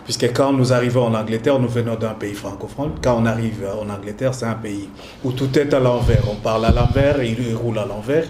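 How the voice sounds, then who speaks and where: A man from Congo being interviewed as a community entrepreneur, speaking in a measured way, listing his roles. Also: medium pitch, fairly even intonation. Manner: measured, speaks in lists, uses sayings. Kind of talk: interview answers. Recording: echoey room with clear noise.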